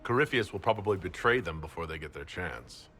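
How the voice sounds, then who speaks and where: Concerned party leader, deep, animated intonation, direct, video game dialogue. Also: male voice, a little fast. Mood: inquiring. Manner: brief, direct questions. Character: concerned, direct male hero leading a party.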